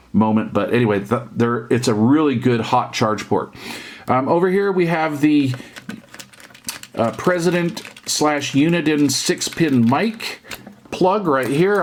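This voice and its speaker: A middle-aged or older American man giving a casual, unscripted product walkthrough in a folksy, plain-spoken way, as a hobby reviewer would. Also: mid-range voice. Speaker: hobby radio reviewer and YouTube host.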